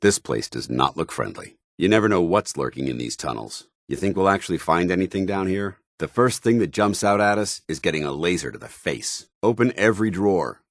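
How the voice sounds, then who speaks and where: Stern dutiful army paladin for a video game, really low voice, formal and clipped, super dry recording. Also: male voice, expressive, brisk, few pauses, dry recording. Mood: wary. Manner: formal, clipped military phrasing. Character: stern, dutiful armored soldier paladin, grown man, devout and disciplined. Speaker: companion character. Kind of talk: scripted video game dialogue.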